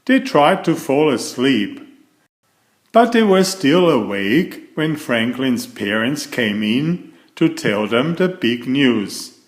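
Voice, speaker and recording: A man reading a children's story aloud in a deliberate, expressive way. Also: mid-range voice. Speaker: storybook narrator. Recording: echoey room.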